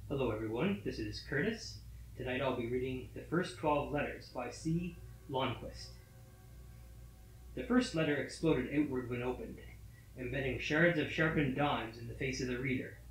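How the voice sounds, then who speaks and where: A man reading a scripted horror story in a measured, matter-of-fact manner. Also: medium pitch. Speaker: story reader. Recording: roomy echo. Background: faint music.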